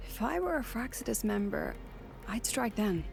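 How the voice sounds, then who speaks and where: An actress playing a composed, analytical guide in a video game, explaining things in a measured, cautious way. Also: female voice, on the high side, very animated, vocal fry, slightly rough, faint music behind, full character voice. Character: composed, analytical female guide with memory powers.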